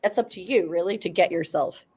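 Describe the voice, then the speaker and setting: Woman on a debate show, kinda low voice, chatty but argues her point hard. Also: a little fast, a little mellow, slightly rough. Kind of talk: casual debate chat.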